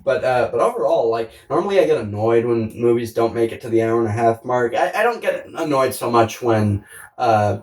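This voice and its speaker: A man's voice: medium pitch, expressive, fairly quick, a little mellow. A man co-hosting a podcast, chatting casually about films in a rambling, profane way peppered with "like". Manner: rambling, profane, frequent use of "like". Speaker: podcast co-host. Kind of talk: casual film discussion. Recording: echoey room, distant microphone.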